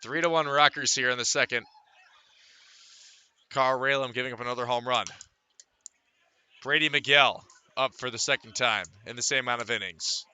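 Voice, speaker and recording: Bright, forceful male baseball announcer. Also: mid-range voice, normal speed, long pauses, smooth. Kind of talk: live radio sports commentary.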